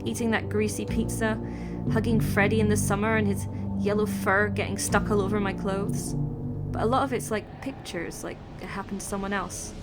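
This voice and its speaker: Woman as pensive teenage girl, earnest, searching delivery, horror audiobook drama, loud music underneath. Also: mid-range voice, level tone, moderate pace, lightly acted. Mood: wistful, uncertain. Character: earnest, pensive seventeen-year-old girl haunted by childhood memories. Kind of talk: dramatic reading of a horror novel.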